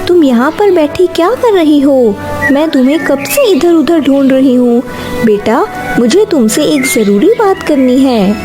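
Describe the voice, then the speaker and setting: A woman's voice: high voice, animated, unhurried, over music. A woman voicing a caring, eager middle-aged mother bird in a cartoon moral story, speaking in an earnest, coaxing, polite way.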